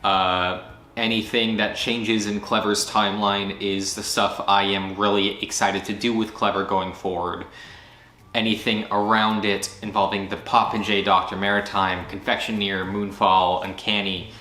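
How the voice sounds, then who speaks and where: A man talks through his year in a bright, forceful voice that sits at an ordinary pitch. He rambles eagerly, restarting sentences and correcting himself as he goes. The recording sounds echoey, as if made in a bare room. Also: little pitch movement, a little slow. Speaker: channel creator recapping the year. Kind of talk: casual solo recap to camera.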